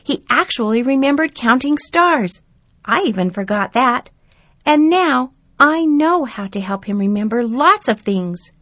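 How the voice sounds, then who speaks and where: A woman voicing an excited, earnest young boy in a storybook reading, his lines pitched high and loud. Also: measured pace, no room sound, heavily acted. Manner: high and eager, loud exclamations.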